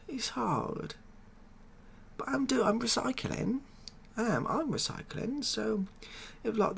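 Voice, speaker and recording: A man with an English accent playing a hapless, well-meaning but dim world president in a soft comedy ASMR roleplay, hesitant, pleading and repeating himself. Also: high voice, gravelly, slight background noise. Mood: flustered, defensive.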